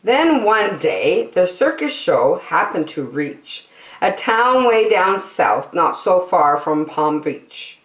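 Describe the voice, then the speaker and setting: Female children's storyteller, slightly low voice, very lively intonation, dramatic phrasing, roomy sound. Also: takes it slowly. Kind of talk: expressive children's storybook reading. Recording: roomy echo.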